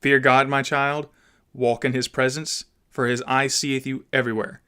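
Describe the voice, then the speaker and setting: Man reading as a stern, indignant father, mid-pitched. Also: little pitch movement, normal speed, bright, forceful tone, clear, resonant tone, lightly acted. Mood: solemn, pleading. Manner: oratorical, emphatic, solemn. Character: indignant father, stern gentleman addressing an assembly. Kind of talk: dramatised audiobook reading.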